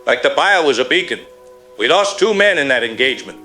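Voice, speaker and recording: A man's voice: mid-range voice, big pitch swings, sharp tone, faint music behind. Man acting as a shaken, haunted Marine colonel. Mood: grim, haunted. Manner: grave, halting, haunted. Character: shaken veteran Marine colonel reporting from the field. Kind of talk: apocalyptic radio drama acting.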